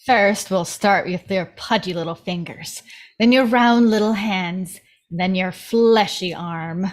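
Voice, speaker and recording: A woman's voice: mid-range voice, measured pace, close to an everyday voice. Woman voicing an older crime boss mother, menacing, threatening, table-read drama. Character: menacing older female criminal, mother of a gang.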